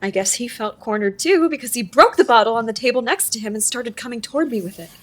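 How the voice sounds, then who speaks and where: Actress as a husky, higher-voiced wondering young storyteller woman. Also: animated, fast talker. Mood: tense. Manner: conversational, confiding storytelling. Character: shaken, wondering woman recounting her strange new power. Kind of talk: live audio drama monologue. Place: live on stage in a theater.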